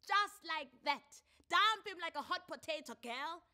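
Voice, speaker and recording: A woman's voice: very high voice, expressive, measured pace, pushed and bright, pitched above the performer's own voice, full character voice. A woman with a South African accent playing a brash, meddling female bystander in a story reading. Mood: sassy, goading. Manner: brash, goading. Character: sassy, meddling female bystander egging on wife.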